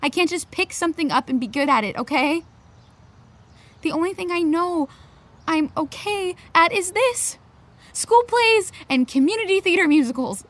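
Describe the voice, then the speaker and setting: A woman's voice: very high voice, animated, normal speed, fairly bright tone. An actress playing an anxious, defensive teenage girl in a naturalistic audio drama, with a sarcastic, exasperated edge. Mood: angry, bitter.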